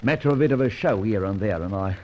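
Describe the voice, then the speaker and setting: A man's voice: lowish voice, expressive, quick pace, darkish tone, lightly acted. An actor playing a male detective who poses as a boastful small-time crook, theatrical and wry in old-time radio detective style. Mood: boastful, cocky. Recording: muffled, hissy old radio recording.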